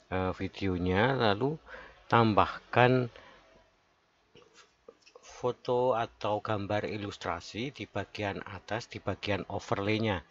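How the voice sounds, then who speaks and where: A man giving a step-by-step software tutorial as its presenter, recorded as a voice-over. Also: lowish voice. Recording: dull lo-fi voice-over.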